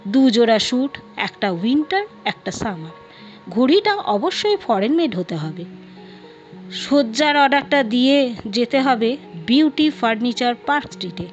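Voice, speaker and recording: A woman reads a scripted story in a high-pitched voice. The recording is a little dull, and music plays faintly behind her narration. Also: big pitch swings, a little slow. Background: background music.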